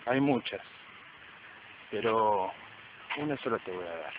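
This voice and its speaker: A man's voice: on the low side, lively intonation, unhurried. An amateur man actor playing a guarded, grave hooded informant in a detective radio play. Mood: grave, secretive. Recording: muffled, noisy amateur recording.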